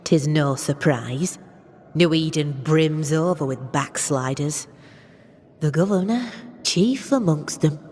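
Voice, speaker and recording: A man's voice: on the high side, slow, a little mellow, a little hiss or hum. A male actor playing a stern, fanatical Puritan settler in a video game, preaching righteously in archaic speech with long reverb. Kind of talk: dramatic video game acting.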